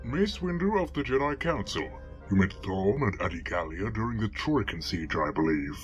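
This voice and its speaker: A man acting a wise alien Jedi master in a space-saga dub. His voice is very deep and moves expressively, kindly and formal, over faint music. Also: expressive, normal speed, lightly acted. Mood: courteous, welcoming. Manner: courteous, formal phrasing.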